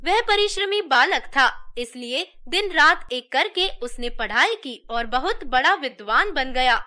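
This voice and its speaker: A woman's voice: high-pitched, animated, unhurried, sharp tone. A woman narrating a scripted children's story as a storyteller.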